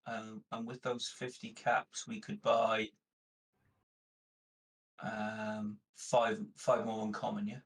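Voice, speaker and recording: A man's voice: on the low side, completely flat delivery, takes it slowly, long pauses, slightly rough. A man casually working through trades as a player in a tabletop roleplaying game.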